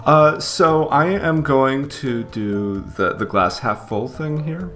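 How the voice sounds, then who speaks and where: A man's voice: medium pitch, slow, a bit bright, soft background music. A man co-hosting a podcast in casual, easygoing chat with hesitant fillers and chuckles. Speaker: podcast co-host.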